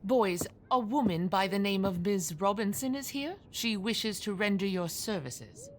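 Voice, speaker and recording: An actress playing a kindly, motherly landlady in an audio drama, gentle and encouraging. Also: mid-range voice, expressive, unhurried, a little hiss or hum, natural voice. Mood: polite. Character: Mrs. Hudson, kindly, motherly, reassuring landlady.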